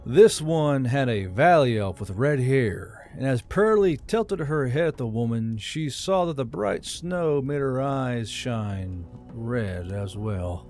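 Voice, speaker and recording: Male narrator, medium pitch, for scripted fantasy audiobook storytelling. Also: animated, slow pace, few pauses, darkish tone, faint music behind.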